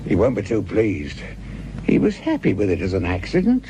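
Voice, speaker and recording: An actor playing a wry, middle-aged British police detective sergeant in a radio drama, dry, colloquial and self-mocking. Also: male voice, on the low side, wildly swooping pitch, moderate pace, warm tone. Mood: grumbling. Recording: dull, noisy radio drama recording.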